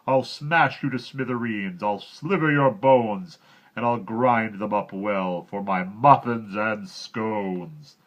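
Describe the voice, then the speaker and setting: A man voicing a furious, menacing giant in a storybook, bellowing drawn-out threats. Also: on the low side. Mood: roaring, furious.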